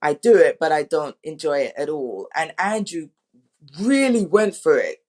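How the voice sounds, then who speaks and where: A woman's voice: low-pitched, lively intonation, moderate pace, darkish tone. A woman speaking as a drama director, telling candid anecdotes in an informal, emphatic way and acting out quotes.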